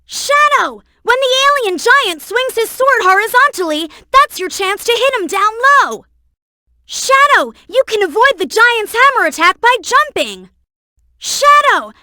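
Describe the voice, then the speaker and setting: A woman voicing a young boy fox sidekick in a video game, earnest, helpful and excitable, with clear childlike delivery. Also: very high voice, unhurried, no echo, studio quality, exaggerated voice. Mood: urgent, encouraging. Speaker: video game character giving hints. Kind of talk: game voice acting, tutorial hints and alerts.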